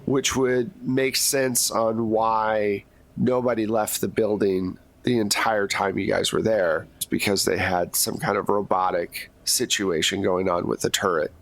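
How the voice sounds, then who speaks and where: A man narrating as game master, describing scenes in a relaxed, unhurried way and answering players' questions. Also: fairly low pitch. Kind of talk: narrating a tabletop horror game.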